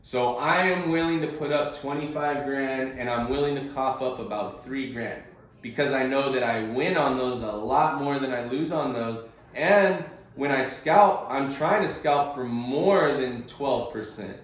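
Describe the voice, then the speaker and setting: A man's voice: medium pitch, measured pace, very echoey, poor audio. A man coaching a group on a conference call, explaining things informally and conversationally with casual slang. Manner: casual and conversational, uses slang like bro and bud.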